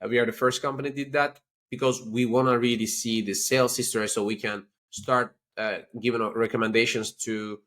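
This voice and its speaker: A man from Turkey with a Turkish accent, a company chief talking as a guest in a business interview, explaining things in an animated way with many "you know" and "like" fillers. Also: medium pitch, fairly quick, hardly any pauses. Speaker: company CEO, podcast guest.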